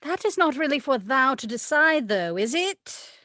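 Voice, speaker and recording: A woman playing a dim, mild witch sister who gently contradicts in matter-of-fact, halting, archaic words, reading from a script. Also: high-pitched, very animated, no room sound, clean studio sound, heavily acted. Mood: meekly contradicting. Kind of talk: script table read.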